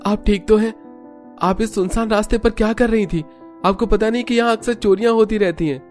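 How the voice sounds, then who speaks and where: A man's voice: sits high, steady tone, fairly quick, faint music behind, pitched above the performer's own voice, lightly acted. A man voicing an anxious, earnest young man in a cartoon story, fretful and polite. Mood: concerned. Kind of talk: Hindi cartoon story dubbing.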